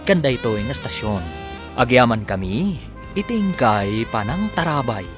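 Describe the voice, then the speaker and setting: A man's voice: mid-range voice, big pitch swings, normal speed, over music. A man with an Ilocano Filipino accent, narrating and announcing a radio drama in a dramatic scripted style.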